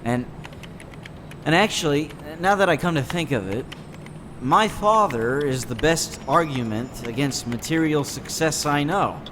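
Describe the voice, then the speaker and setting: A man's voice: a little high, animated, measured pace, noisy background. A man playing a gloomy, sardonic teenage boy in a comic dub, with a dry, jaded, matter-of-fact delivery. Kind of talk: scripted comic-dub acting, first-person narration and dialogue.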